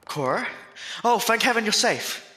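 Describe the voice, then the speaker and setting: Bright, worried young Victorian man, played by an actor. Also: high-pitched, sing-song, moderate pace, exaggerated voice. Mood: relieved. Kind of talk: period horror audio drama. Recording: echoey long reverb, faint music.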